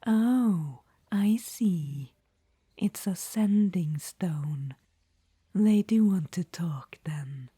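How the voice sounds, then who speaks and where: A woman's voice: lowish voice, animated, extremely slow, mellow, slightly rough, no echo, full character voice. A woman voicing an imperious, fond undead witch-queen in an ASMR roleplay, whispering throughout and calling the listener darling. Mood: watchful. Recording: dry close-mic whisper.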